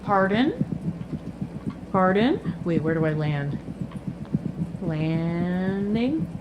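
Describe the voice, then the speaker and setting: A woman giving casual, expressive gameplay commentary, reacting aloud and talking to the characters. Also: on the low side, very slow, muffled recording, heavy background noise. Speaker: video game streamer. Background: game music.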